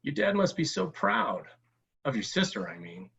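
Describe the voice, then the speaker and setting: A man playing a contemptuous teenage bully in a science fiction script read, taunting and menacing in a husky voice. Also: fairly high pitch, very animated, moderate pace, fairly bright tone, heavily acted. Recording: dull, lo-fi microphone.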